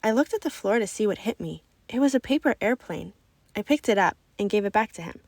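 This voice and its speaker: A woman reading a children's story aloud in expressive, scripted narration. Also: medium pitch, a little fast, dry recording. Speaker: storybook reader.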